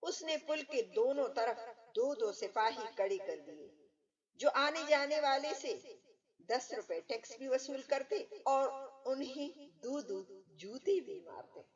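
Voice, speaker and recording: Female narrator for scripted moral stories, higher-pitched, dull lo-fi sound. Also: animated, measured pace, far from the mic. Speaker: storyteller and channel host.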